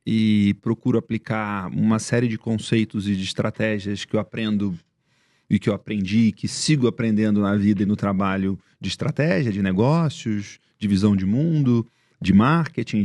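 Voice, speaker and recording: A man's voice: lowish voice, a little mellow, dry recording. A Brazilian man with a light Rio de Janeiro accent, a podcast guest chatting casually and reflectively. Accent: Rio de Janeiro Portuguese. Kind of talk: casual interview chat.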